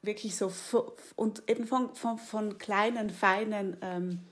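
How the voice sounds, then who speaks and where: A woman's voice: mid-range voice, fairly even intonation, unhurried. A woman hosting a conversation, thinking aloud with frequent restarts and fillers. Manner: hesitant, many restarts and fillers, warm enthusiasm; mixes in English phrases. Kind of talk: casual conversation.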